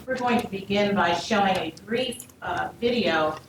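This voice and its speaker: A woman's voice: medium pitch, normal speed. A woman moderating a student presentation at a podium, speaking loosely with plenty of ums and uhs. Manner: relaxed, with fillers like um and uh. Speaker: workshop moderator. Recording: very echoey hall, distant, noisy.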